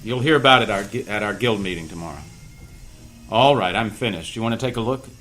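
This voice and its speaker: A man playing a gentle, older painter and mentor in an audio drama read-through, unhurried, wry and caring. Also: lowish voice, lively intonation. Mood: absorbed, wry. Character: gentle old painter and mentor, cafe owner. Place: cast read-through in a room. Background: music underscore.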